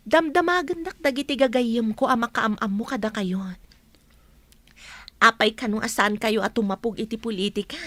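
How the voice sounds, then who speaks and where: Radio drama role: eager, loving young daughter, high-pitched and closely recorded. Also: female voice, a bit bright, no echo. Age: young adult. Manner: affectionate, earnest. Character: devoted, eager young daughter back from working abroad.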